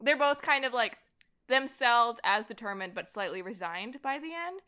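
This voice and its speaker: Podcast guest: young woman, bright tone, casual, animated, rambling delivery. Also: a little high, moderate pace. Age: young adult. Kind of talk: casual conversation about comics.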